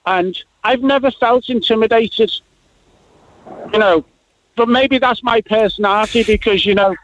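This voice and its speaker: A man's voice: sits high, moderate pace, fairly bright tone, lo-fi sound, slight background noise. A man calling into a radio show, rambling cheerfully and filling his talk with "you know" and "right". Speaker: caller. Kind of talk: phone-in call.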